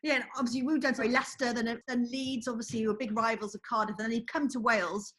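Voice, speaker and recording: A woman's voice: on the high side, fairly even intonation, fast, a bit bright. A woman sports reporter interviewing a footballer, who asks chatty, leading questions.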